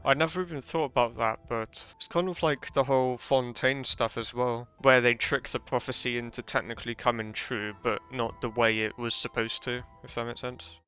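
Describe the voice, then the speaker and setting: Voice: medium pitch, fairly even intonation, normal speed, few pauses, a bit bright, faint music behind. A streamer giving casual, reactive commentary while playing a video game.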